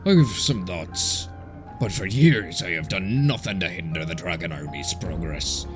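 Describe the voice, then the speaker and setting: A man's voice: sits low, animated, unhurried. A man voicing a resolute warrior lord in a fantasy story, earnest and formal. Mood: determined. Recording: music behind the voice.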